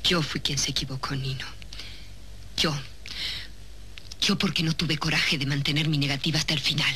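An actress playing an ambitious young woman in a 1970s soap opera, speaking in a deliberate, dramatic way. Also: sits low, steady tone, bright, forceful tone. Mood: regretful. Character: ambitious, cold young woman from a humble neighbourhood. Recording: noisy old 1970s TV soundtrack.

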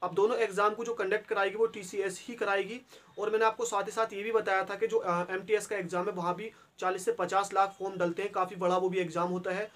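A young man with a high, light voice explains updates straight to camera. He talks earnestly, circling back and padding with filler pauses. He is recorded in a small indoor room by a brick wall. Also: flat delivery, a bit bright. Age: young adult. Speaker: exam-news channel host.

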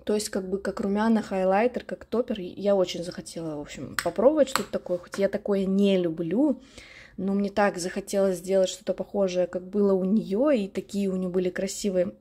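Casually chatty woman, medium pitch. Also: steady tone, brisk, warm tone, husky. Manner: chatty, nostalgic, self-correcting. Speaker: beauty vlogger. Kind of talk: casual rambling chat. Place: indoors at home, at a dressing table.

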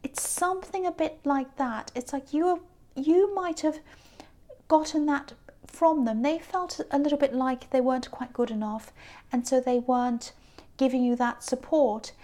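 A woman giving a tarot reading off the cuff, hesitant with many ums and restarts, her voice a little croaky. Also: high voice, a little mellow. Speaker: tarot reader. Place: indoors at a tabletop card spread.

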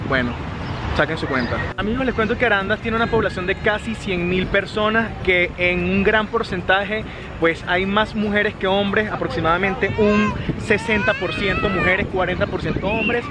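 A young adult man from Venezuela with a Venezuelan accent, narrating emphatically and casually as a travel vlogger. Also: high-pitched. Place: outdoor town plaza. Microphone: camera at arm's length. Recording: noisy outdoor street vlog.

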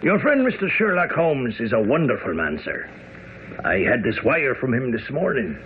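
Man as police inspector, hearty, formal, animated intonation, radio play, tinny broadcast. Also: medium pitch, normal speed, a little hiss or hum, lightly acted. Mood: hearty, respectful. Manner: formal, bluff. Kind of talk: radio drama acting.

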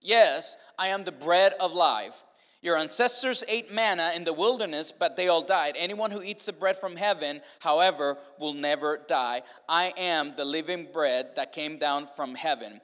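Middle-aged Mexican-accented pastor, slightly high voice, chatty and joking, long hall reverb. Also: male voice, moderate pace, a bit bright. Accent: Mexican Spanish. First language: Spanish. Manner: chatty, humorous, many restarts and fillers. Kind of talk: casual sermon to college students. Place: large stage of a church or college auditorium. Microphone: handheld mic.

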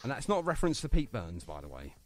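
A man hosting a casual radio interview, chatty and quick with jokey asides. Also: mid-range voice, huge pitch swings. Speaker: radio show host.

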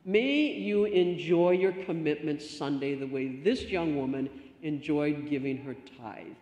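A middle-aged woman pastor giving a stewardship talk from the lectern, telling a story with lively gestures. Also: sits low, a little slow. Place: church sanctuary. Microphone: stand mic at lectern. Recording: echoey church hall reverb.

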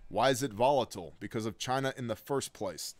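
A man's voice: mid-range voice, a little fast, no echo. A middle-aged man hosting a preparedness show, reading news articles aloud and breaking in with blunt, sarcastic remarks, recorded on a desk webcam. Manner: sardonic, blunt asides between read passages.

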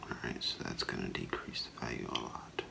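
A middle-aged man whispering in a halting, hushed ASMR role play as an appraiser, breathy and gravelly, close to the mic. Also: a bass voice, heavy background noise. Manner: slow, hushed, halting, with long pauses. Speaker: ASMR performer role-playing a gemologist. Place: small curtained room indoors.

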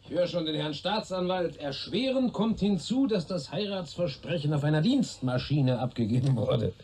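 An actor playing a wry, chatty, grumbling middle-aged police superior in a radio crime play. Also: male voice, fairly high pitch, animated, normal speed, darkish tone, breathy edge. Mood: teasing. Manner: jocular, rambling, grumbling. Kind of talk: German radio play dialogue.

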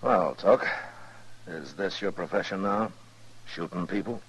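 An actor playing a steady, weary, plain-spoken frontier marshal in a 1950s radio western. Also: male voice, sits low, a little slow, slight background noise, low-quality recording. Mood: calm, serious. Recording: 1950s radio drama recording.

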